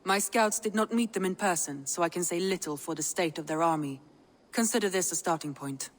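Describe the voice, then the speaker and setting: A woman acts a strategic Viking advisor for a game. Her voice sits low and she explains things formally and with composure. A long reverb hangs on the sound. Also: a little fast, natural voice. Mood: briefing. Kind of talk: dramatic game dialogue.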